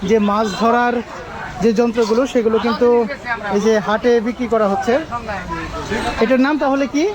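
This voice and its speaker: A man's voice: extremely high pitch, fairly even intonation, normal speed, low-quality recording. A man hosting an on-location vlog, addressing viewers and asking vendors questions in a presenter's style. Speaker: vlog host interviewing market vendors. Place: outdoor rural village market. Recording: busy outdoor crowd behind voice. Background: market crowd chatter.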